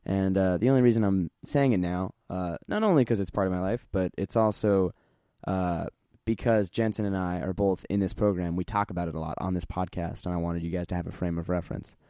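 A young man with a low voice hosts a podcast in a rambling, self-deprecating way. He fills his talk with ums and uhs. The sound is close and dry. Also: fairly even intonation, fairly quick, mellow, no room sound. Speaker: podcast host. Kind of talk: casual podcast chat. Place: practice room.

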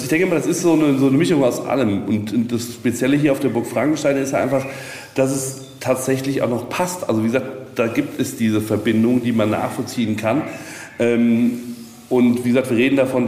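A middle-aged man with a mid-pitched voice gives informal, rambling explanations like a local guide. His sentences circle around before they land. The room is strongly echoey, with a little ambient noise. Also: fairly quick, a little mellow. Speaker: castle representative and guide. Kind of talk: casual explanatory interview talk. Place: reverberant space at a castle.

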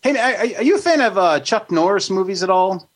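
A podcast host in his late thirties talks in a loose, rambling way, full of swearing and quick laughter. His voice sits a touch high for a man. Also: expressive. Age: 30s. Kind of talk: casual podcast chat. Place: studio.

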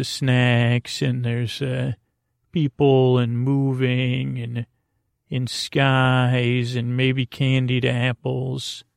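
A man hosting a bedtime podcast, talking close to the mic in a meandering, hesitant, reassuring and gently goofy monologue. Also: medium pitch, vocal fry, clean tone, no room sound. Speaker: podcast host. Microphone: close to the microphone.

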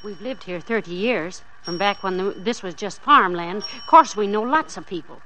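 An actress playing an anxious, polite, middle-aged wife held hostage in an old radio crime drama, speaking carefully. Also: female voice, mid-range voice, moderate pace, bright, forceful tone, close to an everyday voice. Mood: polite, guarded. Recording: noisy vintage radio recording.

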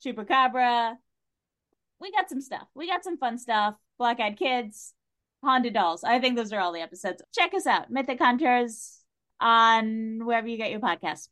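A woman chatting casually as a podcast guest, with an animated, jokey way of talking. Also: on the high side, normal speed. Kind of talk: casual chat.

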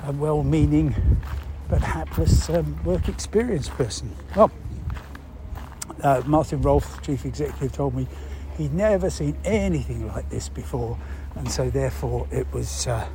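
Man explaining, mellow voice, background noise. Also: a little high, low-quality recording. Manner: halting, thinking aloud, with rhetorical questions. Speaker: presenter explaining news. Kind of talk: explanatory talk to listeners, unscripted.

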